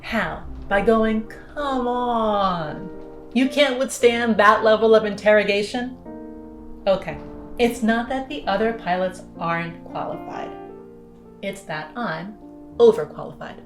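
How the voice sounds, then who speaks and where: A woman's voice: medium pitch, unhurried, echoey room, soft background music, close to an everyday voice. A woman playing a cocky, sardonic pilot in an audio drama, with a dry, blunt, teasing delivery. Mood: dry, smug. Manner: dry, sarcastic, blunt, foul-mouthed teasing. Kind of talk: naturalistic audio drama dialogue.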